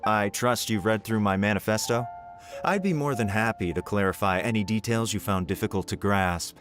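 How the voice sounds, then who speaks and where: An actor playing a pompous, condescending official in a video game, speaking in a haughty, patronizing way. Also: male voice, lowish voice, normal speed, close to an everyday voice. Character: pompous male job candidate, condescending official. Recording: game music behind the voice.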